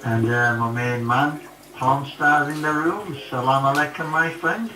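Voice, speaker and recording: Echoey, halting man chatting from afar. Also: fairly high pitch. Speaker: CB chat app user. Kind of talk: casual shout-outs. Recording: echoey, distant playback.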